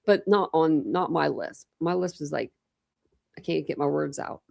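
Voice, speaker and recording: Gravelly woman with a slight lisp. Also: sits low, expressive, normal speed, a little mellow. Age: 40s. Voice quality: slight lisp from a dental retainer. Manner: rambling, thinks aloud, many restarts. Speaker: true crime livestream host. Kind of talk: casual livestream chat with viewers.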